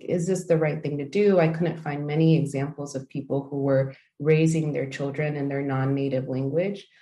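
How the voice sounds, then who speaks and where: A woman in her thirties from the United States, giving measured, thoughtful answers as an interview guest with frequent ums and uhs. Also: sits low, mellow. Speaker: interview guest, language project founder. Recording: echoey room.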